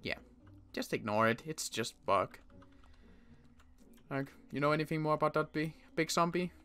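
A young man playing a weary survivor in a Minecraft roleplay, talking in halting bursts with sighs and restarts. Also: a little high, animated, sharp tone, smooth. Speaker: roleplay player and channel host.

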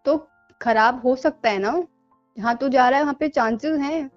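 Actress as jealous young female best friend, high voice, defensive, sulky, muffled audio, music underneath. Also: moderate pace, no echo, natural voice. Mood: hurt, sulky. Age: young adult. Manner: snappy, sulky denials. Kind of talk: naturalistic phone-call relationship drama.

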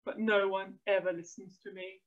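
A woman's voice: fairly high pitch, steady tone, normal speed, a little mellow, lo-fi sound, lightly acted. A woman voicing a grumpy, put-upon husband and dad in a children's storybook, muttering his complaints. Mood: sulky. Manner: grumbling, muttering.

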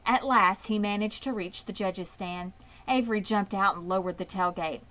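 A woman's voice: mid-range voice, moderate pace, a bit bright, poor audio. A woman narrating a children's book as a scripted read-aloud. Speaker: storybook reader.

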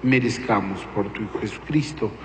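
A man reciting the prayer for the dead at Mass as a concelebrating priest, calm and even. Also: on the low side, moderate pace. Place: large church. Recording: roomy church echo, faint noise.

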